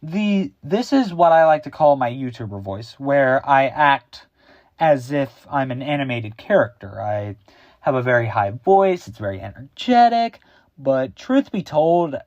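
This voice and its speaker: A bouncy, high-pitched cartoonish YouTuber, as a teenage boy puts it on, loud and full of pep. The delivery stays slow and deliberate, and the sound is dull and dry, like a cheap home microphone in a small room. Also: big pitch swings, no echo. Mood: excitable. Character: hyper young male cartoon-style YouTuber persona. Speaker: YouTube host.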